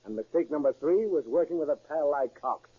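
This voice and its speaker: A man's voice: a little high, expressive, brisk, slightly rough. A man playing a brusque, hard-boiled police detective with an American accent in a 1930s radio drama, curt and commanding. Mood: accusing. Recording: muffled, worn 1930s radio recording.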